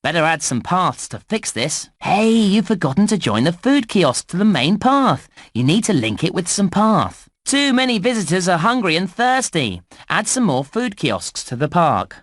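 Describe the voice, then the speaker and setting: A cheerful park guide for a children's computer game, pitched a little high. He gives scripted instructions with exaggerated enthusiasm and very lively swoops, always encouraging. The recording is dry and close. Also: no room sound. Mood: playful concern. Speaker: voice actor narrating game instructions.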